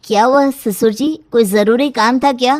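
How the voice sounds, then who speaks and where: A woman's voice: a little high, normal speed, lightly acted. Woman as a stubborn, nonchalant young groom.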